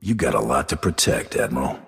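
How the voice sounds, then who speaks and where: A stern, honorable alien bounty hunter for a video game. The voice is extremely low, gravelly and badly rasped, with terse, dry delivery and a slight hall reverb. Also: male voice, very animated, brisk, heavily acted. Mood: dry, wry. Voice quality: gravelly, husky.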